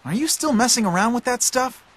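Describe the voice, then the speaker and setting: A man's voice: very high voice, expressive, brisk, fairly bright tone, slightly rough, heavily acted. A man voicing a streetwise, cynical boy in a video game, blunt and matter-of-fact, like a bossy older friend. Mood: knowing, blunt. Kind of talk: video game cutscene voice acting.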